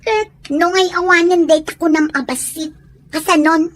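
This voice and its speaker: A woman's voice: extremely high pitch, steady tone, normal speed. A woman playing an anxious, sulky young pregnant student girlfriend in an audio drama. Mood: complaining. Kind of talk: Ilocano audio drama acting.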